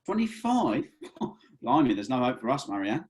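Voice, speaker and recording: A man's voice: medium pitch, big pitch swings, moderate pace, darkish tone, breathy edge. A man acting an affable, cheeky, slightly hapless young man in a comedy script read, with hesitant, wry colloquial delivery.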